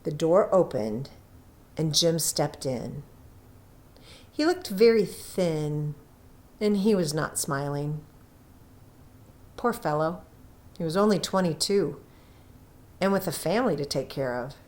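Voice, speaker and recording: Slow, highly expressive woman storyteller. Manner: unhurried, dramatic emphasis with drawn-out phrases. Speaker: audiobook reader. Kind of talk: expressive scripted storytelling narration.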